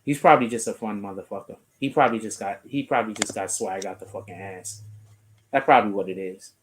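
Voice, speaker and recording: A man's voice: lowish voice, fast, a little hiss or hum, rough recording. A man from the Bronx, hosting a casual stream and commenting on news with loose, profane chatter while he clicks through web pages. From the Bronx, New York. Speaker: streamer host.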